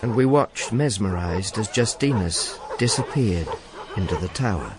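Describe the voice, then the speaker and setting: A man's voice: on the low side, expressive, normal speed, noisy background. An actor playing a wry Roman informer, narrating his misadventures in a dry, sardonic way for a radio drama. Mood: rueful.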